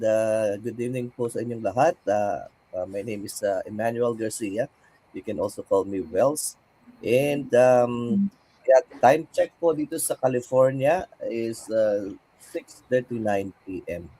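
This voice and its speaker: A man's voice: medium pitch, a little slow, warm tone, a little hiss or hum, rough recording. A man with a Filipino accent introducing himself on a live stream, polite and hesitant. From Philippines. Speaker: panel guest.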